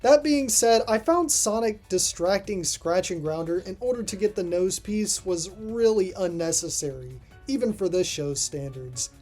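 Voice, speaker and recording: Music plays behind a mid-pitched host recording a scripted review voice-over. The delivery is casual and dry, with offhand asides, like someone working through a ranked list of cartoon episodes. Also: animated, moderate pace, hardly any pauses, darkish tone.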